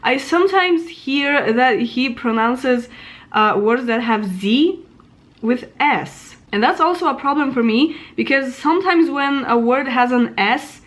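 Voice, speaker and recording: A woman's voice: sits high, expressive, unhurried. A woman in her twenties with a Polish accent, chatting informally and self-deprecatingly as she muses aloud. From Poland. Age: 20s. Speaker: vlogger. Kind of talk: casual chat about pronunciation.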